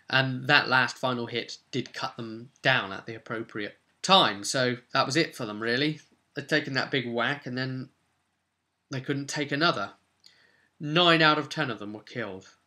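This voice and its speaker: Male game master, bright forceful voice, mid pitch, casual and rambling recap of a tabletop campaign.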